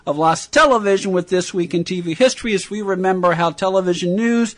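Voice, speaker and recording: A man's voice: high voice, brisk, fairly bright tone. A man hosting a radio program, reading a scripted introduction to an archival segment. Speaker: radio show host.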